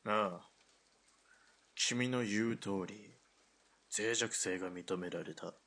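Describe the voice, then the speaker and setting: Husky, low-voiced calculating army officer played by a man. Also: fairly bright tone, exaggerated voice. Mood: measured, self-justifying. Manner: measured, condescending officer's speech. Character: smooth-talking, calculating middle-aged army lieutenant colonel. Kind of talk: radio drama acting.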